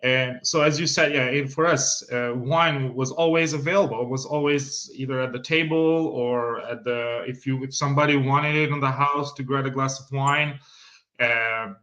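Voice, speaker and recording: A middle-aged man with an Italian accent talks through an interview in a bright, forceful voice. He hesitates and restarts his sentences often, with frequent laughs. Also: mid-range voice, fairly even intonation, moderate pace. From Italy. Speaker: winery sales manager guest. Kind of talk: casual interview conversation.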